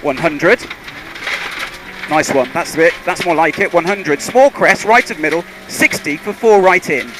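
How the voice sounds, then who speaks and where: A man's voice: on the high side, a little slow. A man working as a rally co-driver, reading pace notes in clipped, urgent bursts over a car intercom. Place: inside a rally car on a forest stage. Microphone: helmet intercom headset. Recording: car intercom with loud engine and vehicle noise.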